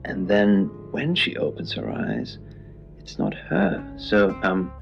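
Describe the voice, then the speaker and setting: Poe, deep creaky deadpan radio host. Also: male voice, highs cut off. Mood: musing, hesitant. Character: Poe, eccentric male radio host and curiosity shop keeper. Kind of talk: late-night radio show banter. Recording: band-limited radio sound over music.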